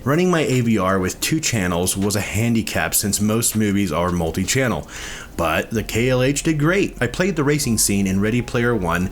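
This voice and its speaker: A man's voice: on the low side, slight background noise. A man reading a scripted product review as a narrator and reviewer.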